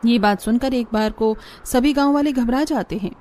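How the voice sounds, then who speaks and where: A woman's voice: fairly high pitch, moderate pace, darkish tone, a little hiss or hum. A woman giving scripted narration for a cartoon story.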